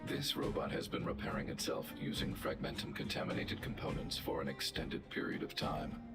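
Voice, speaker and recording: A deep gravelly robot guardian. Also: male voice, normal speed. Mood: calm, clinical. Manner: flat, precise, mechanical delivery. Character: huge logical male robot guardian. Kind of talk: video game voice acting. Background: game music.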